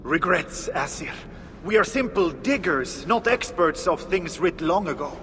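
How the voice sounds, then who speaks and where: Apologetic dwarf digger, highish voice, hesitant, deferential, hall reverb, game drama. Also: male voice, lively intonation, a little slow, a bit bright. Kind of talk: dramatic game cutscene acting. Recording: hall reverb, faint noise.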